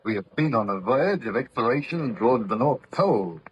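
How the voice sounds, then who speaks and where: A man acting a calm, courteous and commanding ship's captain in an old-time radio drama, speaking formally and with measured authority. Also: mid-range voice, slightly rough, no room sound. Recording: muffled, noisy vintage radio recording.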